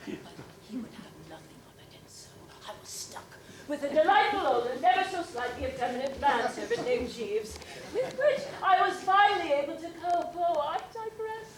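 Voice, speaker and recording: A woman's voice: high-pitched, sing-song, normal speed, hardly any pauses. A woman performing a comic monologue as a prim elderly widow with genteel, mannered speech and frequent laughter. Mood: prim, giggly. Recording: roomy, distant mic with background noise.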